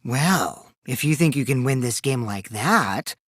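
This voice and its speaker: A mischievous teenage boy from a video game, with wildly animated pitch and a close, dry sound. He taunts in a childish singsong and swings theatrically between glee and cool, sly scheming. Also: a little slow, breathy edge, no echo. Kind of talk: English video game character voice acting.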